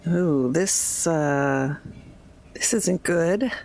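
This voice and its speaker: A woman's voice: low voice, extremely slow, darkish tone. A woman chatting casually as a player in a tabletop game, thinking aloud a little hesitantly, with some noise on the recording. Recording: clearly noisy, ambient background sound.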